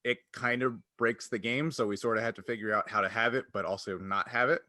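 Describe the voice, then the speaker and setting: A man's voice: medium pitch, fairly even intonation, brisk, bright, forceful tone, no room sound, clean studio sound. A man hosting a podcast and acting as game master, explaining things casually in a rambling way that often interrupts itself.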